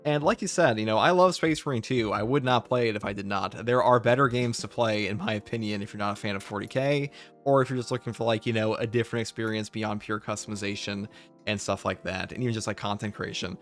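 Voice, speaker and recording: Low-pitched man talking games casually. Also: steady tone, fast, a bit bright, soft background music. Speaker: gaming YouTuber. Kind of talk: casual video game discussion.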